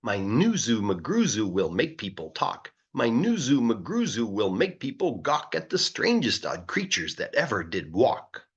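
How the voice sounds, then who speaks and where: Storybook boy, read by a man: boastful, excited, very animated, husky, sing-song rhyme. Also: mid-range voice, measured pace, hardly any pauses, lo-fi sound, heavily acted. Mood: boastful, eager. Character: eager, boastful young boy dreaming up a zoo. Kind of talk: children's storybook reading.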